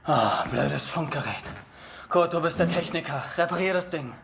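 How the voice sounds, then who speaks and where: A man acting a brisk, commanding German soldier at a radio post in a video game. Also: a little high, creaky, fairly bright tone, a little hiss or hum. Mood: irritated.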